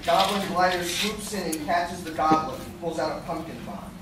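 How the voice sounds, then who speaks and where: A man's voice: on the high side, fairly even intonation, normal speed. A man reading scripted stage directions as narrator of a live radio play. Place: large hotel conference room with seated audience. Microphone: distant audience camera. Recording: distant echoey room, loud crowd and noise.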